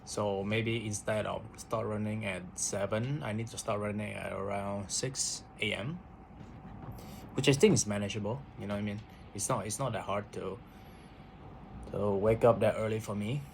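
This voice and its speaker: A man's voice: fairly low pitch, steady tone, normal speed, vocal fry, slight background noise, rough recording. Hesitant man. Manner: hesitant, repeats words, many fillers. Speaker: vlogger. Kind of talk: casual spoken diary.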